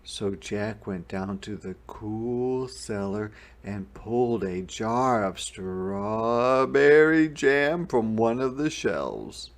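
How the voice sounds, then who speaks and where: A man narrating a children's picture book in a deliberate, expressive storytelling manner. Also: on the low side. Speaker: storybook reader. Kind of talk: scripted children's read-aloud narration.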